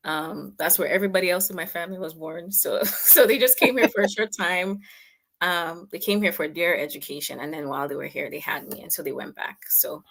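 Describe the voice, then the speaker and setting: A woman's voice: on the low side, fairly quick, few pauses, a bit bright. A woman, answering reflectively as a guest author and counselor in a conversational interview, often repeating words as she explains. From Cameroon.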